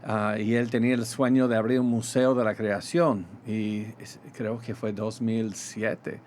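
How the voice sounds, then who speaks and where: Pastor with a half-gringo, half-Mexican accent. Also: male voice, lowish voice, little pitch movement, darkish tone, slight background noise. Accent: American with Mexican Spanish touches. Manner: halting, many fillers and restarts. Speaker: pastor, ministry guest. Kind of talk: radio interview in Spanish.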